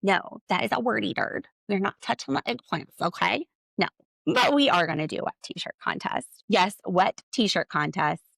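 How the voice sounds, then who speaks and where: A woman hosting a podcast alone, recapping a reality show in a chatty, sarcastic way with jokey asides and wide swings in pitch and pace. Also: expressive, moderate pace, vocal fry, fairly bright tone, slightly rough, dry recording. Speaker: podcast host. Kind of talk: solo recap commentary.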